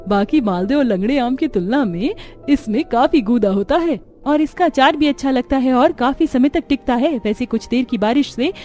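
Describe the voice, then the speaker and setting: A spirited young village housewife, acted by a woman, speaks out in a raised, fairly high voice. It is chatty cartoon drama with music behind. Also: lively intonation, moderate pace, dark tone. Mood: cheerful. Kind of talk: Hindi cartoon story acting.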